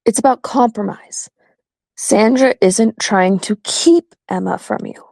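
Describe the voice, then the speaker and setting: A woman playing a composed, pragmatic divorce attorney in an audio play, speaking in a measured, firm and reassuring way. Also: medium pitch, vocal fry, a little mellow, no room sound. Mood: businesslike. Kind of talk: community theatre audio play acting.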